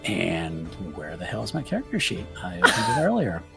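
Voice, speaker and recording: A man's voice: low voice, wildly swooping pitch, normal speed, gravelly, music in the background. A man taking part as a player in a tabletop game, talking casually and hesitantly. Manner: hesitant, lots of fillers.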